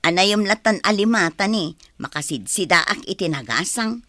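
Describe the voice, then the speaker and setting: Worried barrio mother, female actor, Ilocano accent, fretful radio drama delivery, animated intonation, close dry sound. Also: mid-range voice, normal speed, no echo. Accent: Ilocano Filipino. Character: worried village mother gossiping with a vendor. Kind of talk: Filipino radio drama acting.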